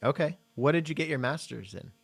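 A man's voice: a little high, fairly quick, gravelly. A man in his thirties hosting a podcast, talking casually with many fillers and restarts, then interviewing a guest. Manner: rambling, filler-heavy, enthusiastic. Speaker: podcast host.

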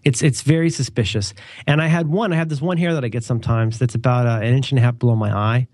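A man's voice: mid-range voice, fairly even intonation, quick pace, a little mellow, no room sound, studio quality. A man with a stuffed-up cold, chatting casually as a podcast co-host in a rambling, digressive, wryly joking way. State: congested with a cold. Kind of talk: casual podcast chat and ad read.

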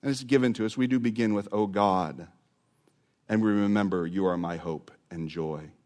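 Man preaching, swinging loud to hushed. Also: on the low side. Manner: dramatic swings from loud to hushed, drawn-out words. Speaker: pastor. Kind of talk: sermon.

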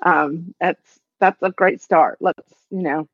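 A woman's voice: fairly low pitch, fairly even intonation, slow pace, husky, dry recording, lo-fi sound. A woman co-hosting a podcast in casual chat, talking loosely with many "you know"s and "um"s. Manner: chatty, lots of fillers like "you know". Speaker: podcast co-host.

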